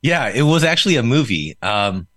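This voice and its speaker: A man's voice: mid-range voice, very animated, moderate pace, a bit bright, dry recording. A man from South Korea whose first language is Korean, telling his story as a guest in a casual interview, with frequent fillers. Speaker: podcast guest.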